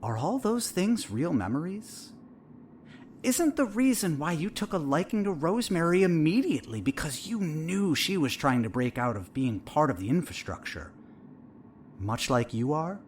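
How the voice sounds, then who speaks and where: A man's voice: a little high, big pitch swings, slight background noise. Actor playing a probing, insinuating male therapist. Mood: pressing. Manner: measured, probing, pointed. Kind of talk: audio drama acting.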